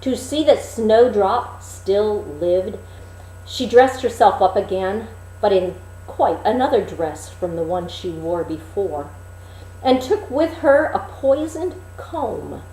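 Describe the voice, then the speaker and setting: A woman reading a fairy tale expressively, with deliberate, dramatic phrasing and emphasis. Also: medium pitch, animated, dark tone, echoey room, rough recording. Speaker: storyteller, reader.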